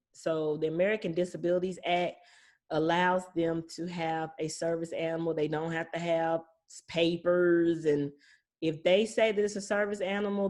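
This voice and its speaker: A woman's voice: lowish voice, darkish tone. A woman speaking as a guest property manager, explaining conversationally with anecdotes in a plain-spoken, folksy way.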